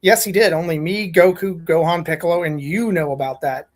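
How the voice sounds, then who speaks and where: A woman's voice: fairly low pitch, brisk, close to an everyday voice. A woman reading the part of an indignant, blunt woman confronting her friend in a fan fiction story. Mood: accusing. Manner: accusing, blunt.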